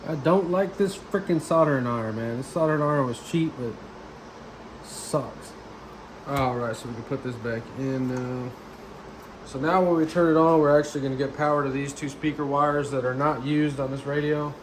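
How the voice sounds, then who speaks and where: A man's voice: mid-range voice, fairly even intonation, moderate pace. A man giving a casual, plain-spoken how-to narration as the host of a DIY car audio video, in informal colloquial speech. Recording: clearly noisy background.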